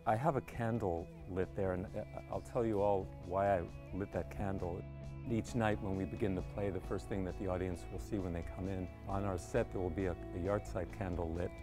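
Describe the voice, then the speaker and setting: Low-pitched man, theatre director, guiding talk to actors, music underneath. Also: fairly even intonation, brisk. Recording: music behind the voice.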